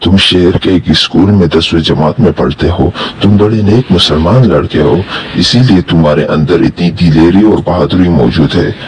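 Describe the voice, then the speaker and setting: A man's voice: low-pitched, normal speed, a little mellow, a little hiss or hum, natural voice, poor audio. A man playing a grateful, solemn jinn in a dramatized children's story, with formal, emphatic speech.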